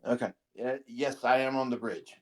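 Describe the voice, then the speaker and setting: A man's voice: mid-range voice, fairly even intonation, normal speed. A man playing an online tabletop game, talking casually with the group.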